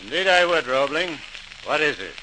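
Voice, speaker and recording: An actor playing an impatient railway superintendent in 1940s radio style, brusque and pompous. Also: male voice, on the high side, big pitch swings, moderate pace. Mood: curt. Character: dismissive, impatient male railway superintendent, set in his ways. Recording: noisy old radio transcription.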